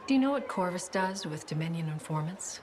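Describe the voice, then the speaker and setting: A cool female intelligence agent from a video game, acted by a woman. She speaks in a slightly low voice, measured and guarded, probing with dry wit over faint ambient noise. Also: lively intonation, a little mellow, close to an everyday voice. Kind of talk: video game character acting.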